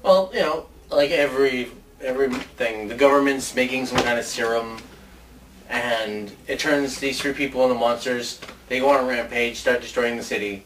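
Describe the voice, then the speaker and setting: Mid-pitched man rambling casually, off-mic. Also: normal speed, fairly bright tone. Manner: informal, rambling. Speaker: co-host of a movie ideas show. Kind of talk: casual chat pitching ideas. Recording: distant mic with faint background noise.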